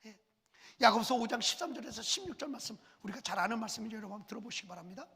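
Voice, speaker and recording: A senior pastor preaches while fighting a cold and a cough. His voice is gravelly and swings lively up and down as he asks the congregation questions. It rings out with a long church reverb. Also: high voice, very fast, crowd noise. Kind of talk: sermon.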